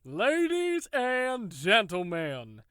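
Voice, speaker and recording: A man plays a smug villain running a prison in an audio drama. His somewhat high voice is formal and falsely cheerful, theatrical and menacing, recorded very dry. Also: huge pitch swings, very slow, fairly bright tone, clean tone, dry recording, heavily acted. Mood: mock cheerful. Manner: mock-pleasant, theatrical, formal.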